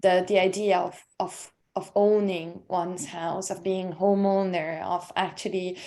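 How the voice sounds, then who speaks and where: A woman's voice: fairly low pitch, flat delivery, a little slow, mellow. A woman from Italy asking a question on an academic panel, searching for her words with many fillers. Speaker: panel participant.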